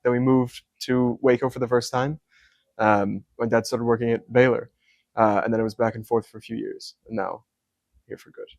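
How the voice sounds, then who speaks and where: Soft-spoken young man, flat even delivery. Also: medium pitch, moderate pace, vocal fry, dry recording. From Scotland. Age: young adult. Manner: soft-spoken, many ums, detailed explanations. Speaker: hat maker. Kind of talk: casual interview.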